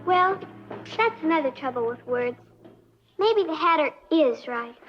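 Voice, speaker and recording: A woman's voice: sits high, expressive, measured pace. A child actress playing a curious, doubtful schoolgirl, earnest and wondering, in a 1950s educational film. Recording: dull vintage film soundtrack.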